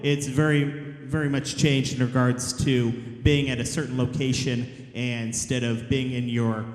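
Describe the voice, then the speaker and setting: Guy hosting a panel q&a, polite and a bit halting, echoey hall. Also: mid-range voice, little pitch movement. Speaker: panel moderator. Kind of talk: conversational interview questions. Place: convention panel room. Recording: echoey hall, long reverb.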